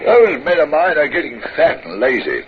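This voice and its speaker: An actor playing a plain-spoken, hearty naval officer in an old-time radio drama. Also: male voice, a little high, very animated, normal speed. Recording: muffled, noisy 1950s radio recording.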